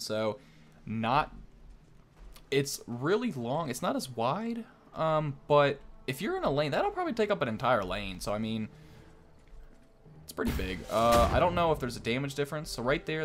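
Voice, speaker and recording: A man's voice: mid-range voice, very animated, normal speed, a little hiss or hum. A man hosting a gaming video with casual, off-the-cuff gameplay commentary.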